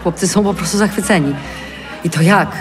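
An actress playing an unsure, modest mother in her forties in a naturalistic audio drama, talking haltingly. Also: on the low side, over music, crowd noise, lightly acted. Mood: grateful, awkward. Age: middle-aged. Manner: hesitant, halting.

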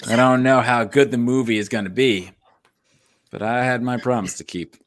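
A man's voice: mid-range voice, steady tone, normal speed, natural voice. A young man acting a roguish, defensive, self-important smuggler in a comic table read. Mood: weary, self-important. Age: young adult. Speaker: actor in live script table read. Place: home room, video call. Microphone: desk microphone, close.

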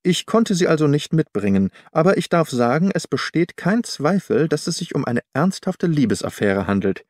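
A man voicing a sober, matter-of-fact gentleman giving a reasoned report, in an audiobook. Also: on the high side, big pitch swings, moderate pace, few pauses, dry recording, studio quality. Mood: earnest.

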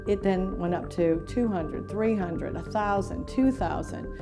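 A woman's voice: mid-range voice, a little slow, gravelly, music in the background. A woman speaking earnestly and conversationally in a documentary interview. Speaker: support group founder.

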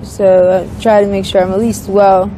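A woman in her thirties answering interview questions candidly and casually, halting and filling her sentences with "like". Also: mid-range voice, flat delivery. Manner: hesitant, halting, peppered with "like". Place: outdoors on a city street by a brick wall. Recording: outdoor street noise, passing vehicles.

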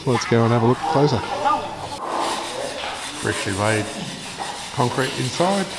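A man giving a casual, hesitant, unhurried walkaround commentary as a vlogger. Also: medium pitch, lively intonation. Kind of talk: casual narrated site walkaround. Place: building site, outdoors and inside bare concrete rooms. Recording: loud construction site noise, rasping and knocking.

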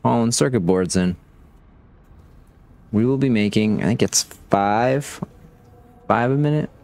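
A man's voice: medium pitch, unhurried, gravelly, a little mellow. A man casually commenting on his factory-building game as he plays, thinking aloud as he checks production. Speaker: gaming channel host.

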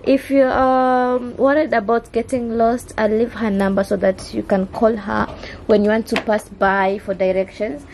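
A young woman from Kenya with a Kenyan accent, chatting to camera in a casual vlog, hoarse with a sore throat and switching between English and Swahili. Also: on the high side, flat delivery, measured pace, few pauses, darkish tone, slight background noise, low-quality recording. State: hoarse, losing her voice. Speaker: vlogger. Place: indoors. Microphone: camera mic held close.

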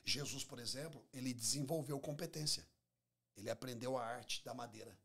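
A man's voice: mid-range voice, moderate pace, gravelly, bright, forceful tone, husky. A Brazilian man in his forties, a pastor talking in a conversational preaching style, emphatic, repeating phrases and acting out bits of dialogue. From Minas Gerais, Brazil. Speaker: pastor, podcast guest. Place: small podcast studio. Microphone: close studio microphone on table stand.

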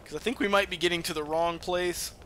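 A man giving casual let's-play game commentary in an excitable, foul-mouthed manner. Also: sits high, fast talker, slight background noise. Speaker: gamer playing and commentating.

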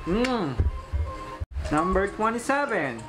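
Filipino dad in his 30s doing a casual home vlog, music playing clearly behind him. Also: high voice, big pitch swings, takes it slowly, poor audio. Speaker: father and vlog host. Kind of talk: casual family vlog chat, mixing Tagalog and English. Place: small furnished living room. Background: background music.

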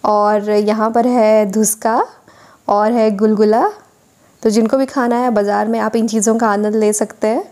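A woman's voice: fairly high pitch, flat delivery, a little slow, darkish tone. A woman vlogger narrating a voice-over tour of a weekly market, listing goods and prices for her viewers.

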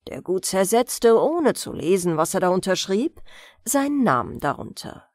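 A woman narrating a bedtime fairy-tale audiobook in an expressive, measured storytelling manner. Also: mid-range voice, no room sound, clean studio sound.

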